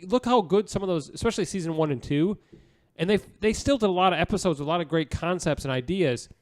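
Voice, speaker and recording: A man's voice: sits high, fast, a little mellow, no echo. A man hosting a podcast in a casual, conversational panel-chat style. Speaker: podcast host.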